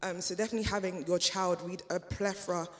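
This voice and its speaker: A woman who grew up speaking German gives a considered panel answer, pausing often on fillers. Her tone stays level and even throughout. The sound carries a long reverberant tail from a church hall. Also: fairly low pitch. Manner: measured, hesitant, many fillers.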